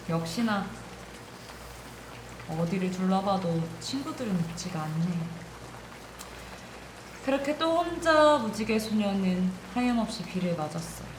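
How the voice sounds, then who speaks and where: A woman narrating a children's bedtime story in an expressive storyteller's style. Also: mid-range voice, background noise. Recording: roomy echo, music underneath.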